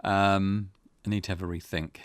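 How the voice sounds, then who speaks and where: A man's voice: deep voice, little pitch movement, moderate pace, clear, resonant tone, no echo, clean studio sound. A man giving let's-play commentary on a video game, musing aloud to himself and reading bits of on-screen text. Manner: musing aloud to himself about his character voices.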